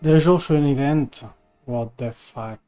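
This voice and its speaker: Live streamer, casual gaming chat, dark relaxed tone, halting delivery, light music underneath. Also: mid-range voice. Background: game music.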